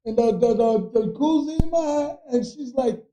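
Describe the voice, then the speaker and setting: A hoarse man with a New York accent, co-hosting a casual chat show and playfully mixing Spanish into his English. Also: very high-pitched, fairly quick, dark tone, slight background noise. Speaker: co-host.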